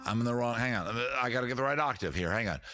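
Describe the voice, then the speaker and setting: A man hosting a casual comedy music stream, rambling and joking at himself between songs while he looks up chords, with a deep voice and loud guitar playing under him. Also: animated, racing. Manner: rambling, self-mocking, swears casually. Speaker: host, singer and guitarist.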